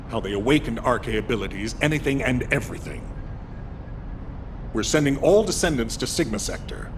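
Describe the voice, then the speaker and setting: An actor playing a stern, weary military commander giving a video game mission briefing. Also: male voice, lowish voice, background noise, lightly acted.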